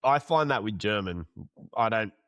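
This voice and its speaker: A man's voice: lowish voice, animated, normal speed, fairly bright tone, dry recording, clean studio sound. A male podcast host asking long, rambling questions in a casual interview.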